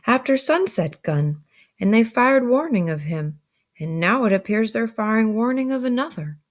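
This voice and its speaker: A woman's voice: a little high, very animated, normal speed, voice pushed up. Woman reading as a plain-spoken country man. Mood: matter-of-fact.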